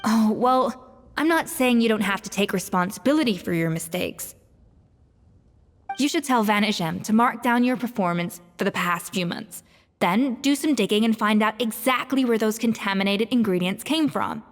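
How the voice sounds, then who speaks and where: A woman's voice: mid-range voice, animated, moderate pace, a little hiss or hum, natural voice. A woman playing a blunt, imperious young pastry chef in a game, with a theatrical no-nonsense manner. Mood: firm, forgiving. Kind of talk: English game voice acting. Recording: long reverb over background music.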